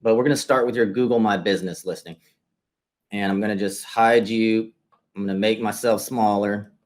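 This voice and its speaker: Low-pitched man walking through a screen presentation. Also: fairly even intonation, moderate pace. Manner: explanatory, walks listener through results step by step. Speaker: interviewer and marketing consultant. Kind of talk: conversational screen-share presentation.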